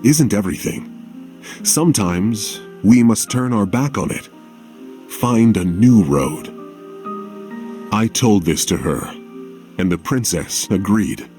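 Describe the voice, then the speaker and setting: An actor playing an earnest, resolute warrior in a video game, speaking in a solemn, measured way. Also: male voice, on the low side, animated, mellow, soft background music. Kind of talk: dramatic video game voice acting.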